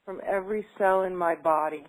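A woman's voice: lowish voice, fairly even intonation, a little fast. Woman echoing affirmations back. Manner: repeats phrases, hesitant with many fillers when talking freely. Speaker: client in a tapping session. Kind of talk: repeating affirmations, then casual talk.

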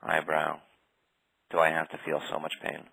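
A man guiding a tapping session in a calm, steady way, naming tapping points and saying short phrases for the other person to repeat. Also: very deep voice, vocal fry, husky, no room sound, low-quality recording. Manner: calm, slow, leads phrases for repetition. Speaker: tapping practitioner.

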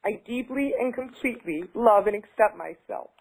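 A woman's voice: mid-range voice, animated, moderate pace, gravelly, darkish tone, breathy edge. A woman taking part in a tapping session, echoing phrases back and speaking hesitantly with many fillers when she talks freely. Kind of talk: repeating affirmations, then casual talk.

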